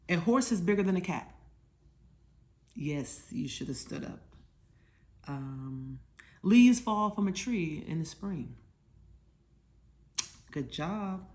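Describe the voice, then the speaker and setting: A woman's voice: sits low, a little mellow. A woman hosting a children's storytime, talking casually and teaching in simple words, with questions to check that listeners follow. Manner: simple, informal explanations for children. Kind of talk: casual children's lesson, conversational.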